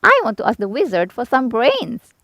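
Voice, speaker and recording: A woman's voice: a little high, sing-song, moderate pace, vocal fry, a bit bright, no room sound, exaggerated voice. A woman voicing a friendly, eager male scarecrow in a children's storybook.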